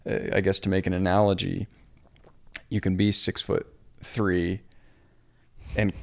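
Young adult male pro cyclist, podcast guest; low gravelly voice, easygoing and self-deprecating. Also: a little slow. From US Pacific Northwest. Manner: relaxed, rambling, self-deprecating. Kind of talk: casual podcast conversation.

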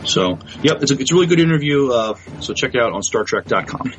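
A man co-hosting a podcast, talking casually with a halting delivery. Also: mid-range voice, lively intonation, soft background music, poor audio. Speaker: podcast co-host. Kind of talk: casual podcast talk and guest introduction.

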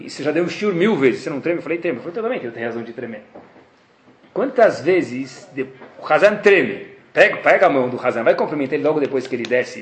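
A Brazilian man teaching a class as a rabbi, on the higher side for a man, his voice leaping up and dropping low as he acts out the voices in his stories. He speaks with a Brazilian Portuguese accent, and the room around him rings with echo. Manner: animated storytelling, acts out quoted dialogue. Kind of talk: Torah class lecture.

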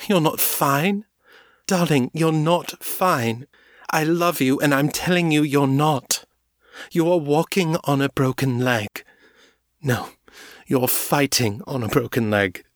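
A man voicing a gentle, devoted undead woman who tenderly calls her friend darling. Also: lowish voice, unhurried, slightly rough, no echo, clean studio sound. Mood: tearful. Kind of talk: audiobook character voice.

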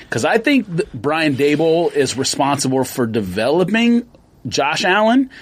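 A man's voice: on the high side, breathy edge. A man co-hosting a podcast, chatting casually about football, often hesitating and trailing off. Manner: hesitant, trails off, laughs easily. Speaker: podcast co-host. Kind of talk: casual sports chat.

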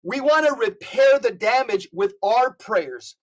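A man speaking as a podcast guest, urging listeners with rapid lists of instructions. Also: very high voice, expressive. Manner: urgent, exhorting, rapid lists. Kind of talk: casual podcast talk.

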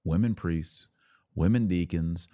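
Male religious commentator, low voice, dry close sound. Emphatic, punchy, repeats key phrases, challenges the listener directly. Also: a little slow, mellow, no room sound. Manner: rhetorical questions to listeners, acting out imagined quotes. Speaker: podcast host and religious commentator. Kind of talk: impassioned religious commentary to a live audience.

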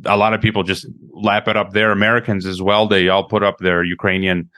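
A man from Croatia, hosting an interview in a measured, hesitant way. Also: fairly low pitch, flat delivery, a bit bright, dry recording, studio quality. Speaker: interview host. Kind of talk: conversational interview.

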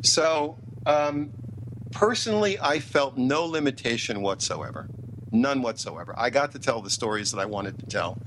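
A man's voice: medium pitch, animated, moderate pace, fairly bright tone, slight background noise, rough recording. A middle-aged man speaking as an interview guest, opinionated and emphatic, repeating phrases to drive points home. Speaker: interview guest, TV writer and producer. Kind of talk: interview talk.

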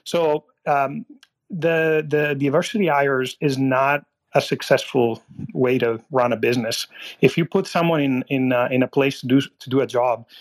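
A man from Italy calling in to a live audio room, talking in a halting way with many fillers and restarts. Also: mid-range voice, fairly even intonation. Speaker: caller. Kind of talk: casual political discussion.

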